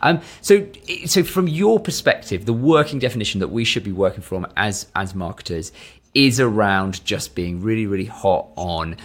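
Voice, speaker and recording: A man hosting a business podcast, asking questions in a measured, conversational way with frequent ums. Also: on the low side, animated. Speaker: podcast host. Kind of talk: podcast interview.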